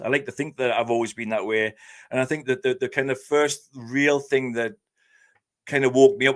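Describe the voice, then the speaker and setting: A man with a Geordie accent from northeast England, talking earnestly as a guest with many fillers and restarts. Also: medium pitch. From Scotland. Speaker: podcast host guest. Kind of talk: casual interview talk.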